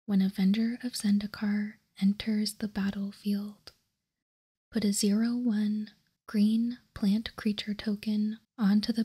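A woman whispering softly and breathily in an ASMR style, close to the microphone, reading out and commenting in a careful, deliberate way. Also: mid-range voice, husky. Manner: slow, soft, deliberate delivery with careful pauses. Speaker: host of a trading card ASMR channel.

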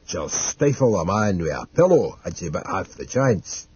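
A man's voice: low voice, big pitch swings, moderate pace, a little mellow, breathy edge, dry recording, muffled recording, pitched below the performer's own voice, exaggerated voice. A man voicing a gloomy, plain-spoken male servant in a storybook, dour and dryly scheming, with rustic turns of phrase.

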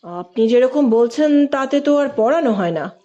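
A woman's voice: high-pitched, animated, moderate pace, mellow, highs cut off. A woman voicing a polite male college professor in a story, mild and teasing, with an air of feigned innocence. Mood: feigned concern.

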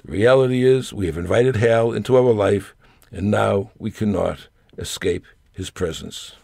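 A man's voice: medium pitch, gravelly, darkish tone, no echo, studio quality. An older man reading a scripted personal essay aloud in a wry, grumbling manner. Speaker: storyteller reading own essay.

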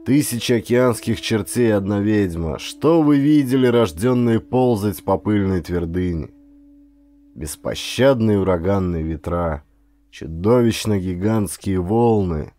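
A man narrating an adventure audiobook as a dramatic, expressive, theatrical storyteller. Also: fairly low pitch.

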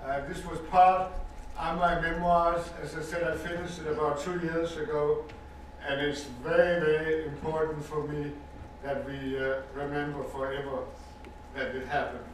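An older man with a Danish accent speaks with earnest, measured weight, often repeating a word for emphasis as he addresses a listening audience. His voice sits a little high for a man. It is picked up from a distance in a reverberant lecture hall with a faint hiss underneath. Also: flat delivery. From Denmark. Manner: earnest, measured, stresses points by repeating words. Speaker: Holocaust survivor speaker. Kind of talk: personal testimony talk to an audience. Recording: echoey hall recording from far off.

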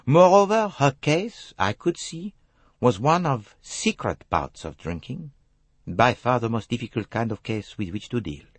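Shrewd old foreign detective for an audiobook, formal and slow, husky, very dry sound. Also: male voice, medium pitch, sing-song, no room sound, voice pushed up, full character voice. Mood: grave, insistent. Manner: slow, emphatic, formal phrasing. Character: elderly foreign detective, courteous, shrewd and deliberate.

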